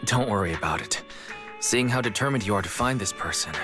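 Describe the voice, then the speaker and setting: An actor as a calm, low-voiced young man. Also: fairly quick, lightly acted. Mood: calm, reassuring. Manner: easygoing, considerate. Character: young male guide, calm, friendly, reassuring. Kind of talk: scripted video game dialogue. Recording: voice over game music.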